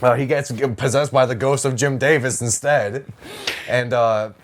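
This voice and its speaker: A man co-hosting a podcast, riffing in casual comedic chat with a halting delivery and some swearing. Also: mid-range voice, steady tone. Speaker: podcast co-host.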